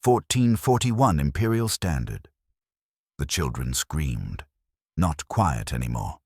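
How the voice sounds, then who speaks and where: Very deep-voiced man narrating dark fiction. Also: husky, dry recording, clean studio sound. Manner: solemn, clipped, measured delivery. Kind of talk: scripted dramatic first-person fiction narration.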